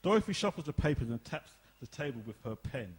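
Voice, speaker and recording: A man's voice: medium pitch, very animated, fast talker, breathy edge. A man reading stage directions as the narrator of a radio play.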